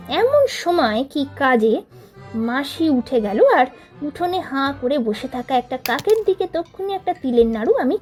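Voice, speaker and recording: A woman's voice: a little high, a little mellow, pitched below the performer's own voice. A woman voicing a boastful teenage boy who leads his gang, spinning a tall tale in a teasing tone. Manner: bragging, teasing storyteller tone. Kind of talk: storybook reading of a figure's tall tale.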